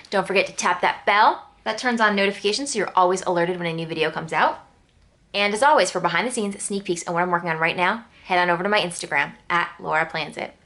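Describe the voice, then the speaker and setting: A woman's voice: medium pitch, fairly bright tone. An American woman from Connecticut talking casually through a planner walkthrough, wandering into chatty asides. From Connecticut, New England, United States. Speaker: planner channel host.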